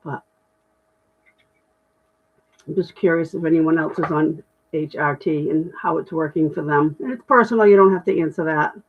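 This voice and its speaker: Middle-aged woman, deep voice, candid self-deprecating livestream chat, tinny phone-like sound. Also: normal speed, pauses often, husky. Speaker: livestream host. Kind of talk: casual livestream chat reading comments.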